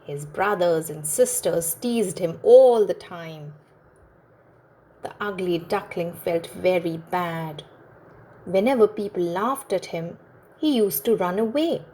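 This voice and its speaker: Slow-paced woman storyteller, lower voice. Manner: expressive, deliberate, instructive. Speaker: children's storyteller and host. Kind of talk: read-aloud storybook narration for young children.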